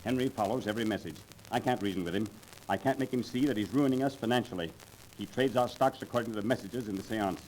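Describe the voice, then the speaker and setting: A worried businessman played by a man talks quickly in a lowish voice. He is earnest and brisk, in 1930s radio acting style over faint recording noise. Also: darkish tone, lightly acted, poor audio. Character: worried businessman urging exposure of a swindle.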